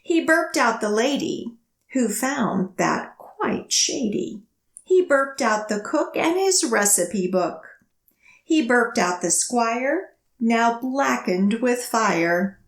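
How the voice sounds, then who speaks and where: Female children's storyteller, higher-pitched, very animated and sing-song, measured phrasing, in an echoey room. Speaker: children's librarian leading storytime. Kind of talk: read-aloud picture book storytelling and action rhymes for children.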